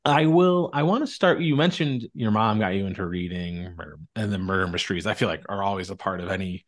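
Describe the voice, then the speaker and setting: A man's voice: low-pitched, brisk. A man hosting a podcast, chatting in an informal, rambling interview style. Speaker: book podcast host. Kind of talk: casual interview chat.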